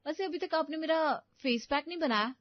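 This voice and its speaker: A woman's voice: high-pitched, normal speed, no echo, highs cut off, pitched above the performer's own voice, natural voice. A woman voicing a spoiled, lazy young married daughter in a story, bossy and teasing. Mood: lazy entitled.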